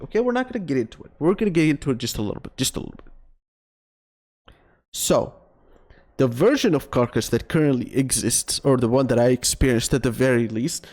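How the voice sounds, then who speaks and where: A man streaming live, reacting to his own video, talking in a rambling, excitable way with many fillers and restarts while he loses his voice. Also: fairly high pitch. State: losing his voice.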